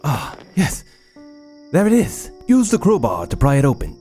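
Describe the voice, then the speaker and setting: An actor playing an arrogant, obsessive young medical scientist in an audio drama, imperious and clinically precise. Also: male voice, a little high, wildly swooping pitch, moderate pace, warm tone, faint music behind. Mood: eager.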